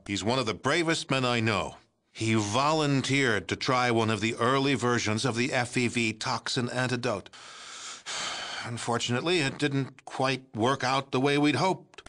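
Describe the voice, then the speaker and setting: Deluded older US President, American accent, pompous, blustering politician, theatrical, sharp bright tone, mid-pitched, video game dialogue. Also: male voice. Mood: condescending. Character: pompous, deluded older male President of post-war United States.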